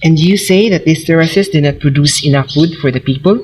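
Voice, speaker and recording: A man's voice: on the high side, brisk, darkish tone, close to an everyday voice. Curious traveller played by a man, noisy recording. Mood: impressed, earnest. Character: Sam Christie, curious earnest American man travelling abroad. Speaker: student voice actor. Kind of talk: dramatic reading of a short story.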